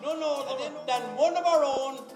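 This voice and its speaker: High-pitched Irish male announcer in echoey hall. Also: steady tone, normal speed. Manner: emphatic, ceremonial build-up to each name. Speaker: presenter announcing award recipients at an event. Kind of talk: live stage announcing, calling out names. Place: large echoey event hall. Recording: echoey hall PA, loud music and crowd. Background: loud music and crowd noise.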